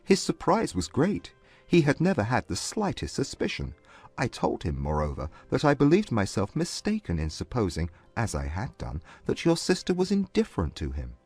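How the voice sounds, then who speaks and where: A man playing a proud but humbled gentleman suitor, earnest and formal, in a dramatized classic romance audiobook. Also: medium pitch, wildly swooping pitch, breathy edge, no room sound, faint music behind, heavily acted. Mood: candid confession. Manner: formal, measured period English.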